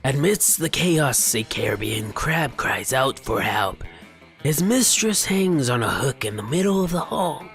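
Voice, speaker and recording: Animated man narrating a game playthrough. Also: mid-range voice, a little slow, faint music behind. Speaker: gaming video host. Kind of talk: game playthrough narration, reading aloud with casual commentary.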